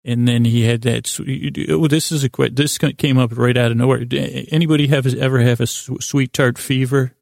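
Male podcast host, American, mid-pitched creaky voice, close dry sound; whimsical, halting bedtime rambling. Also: steady tone, a little mellow, no room sound. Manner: meandering, halting, frequent self-interruptions and tangents; self-deprecating, whimsical. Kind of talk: rambling sleep podcast monologue.

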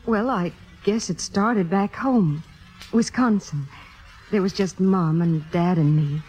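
An actress playing a humble, earnest young American theater devotee in 1950s radio drama, with a hesitant, wistful delivery. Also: female voice, fairly low pitch, takes it slowly, darkish tone, breathy edge. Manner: hesitant, self-effacing, wistful. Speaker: radio actor. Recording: muffled 1950s radio recording, music behind.

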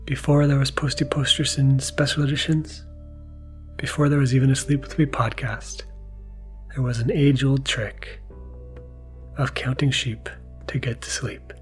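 A man hosting a bedtime podcast, narrating gently in a soft, half-whispered voice for relaxation. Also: little pitch movement, measured pace, long pauses. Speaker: podcast host. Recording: music playing behind the voice. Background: mellow music and low-key sound design.

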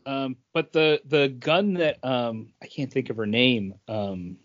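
A man's voice: mid-range voice, takes it slowly, a bit bright, highs cut off. A man co-hosting a podcast in casual chat, loosely searching for words as he goes. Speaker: podcast co-host.

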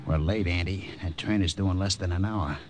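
Brash American commando sidekick, male actor, low voice, wry delivery, hissy vintage radio recording. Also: fairly quick, lightly acted. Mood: wry, tense. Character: tough, wisecracking American saboteur from Brooklyn. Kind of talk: old-time radio drama acting. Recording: noisy old radio drama recording.

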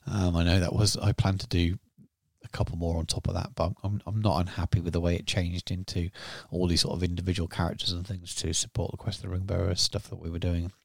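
A man with a very deep, gravelly voice rambles through a casual podcast chat, trailing off and restarting his sentences. His voice sounds close and dry, with no room around it. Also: little pitch movement, fast talker, darkish tone, dry recording. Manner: rambling, many ums and restarts. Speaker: podcast co-host.

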